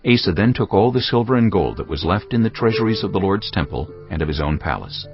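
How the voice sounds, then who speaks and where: A man narrating scripture in a measured storytelling style for a dramatized audio Bible. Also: deep voice, expressive, muffled recording, soft background music.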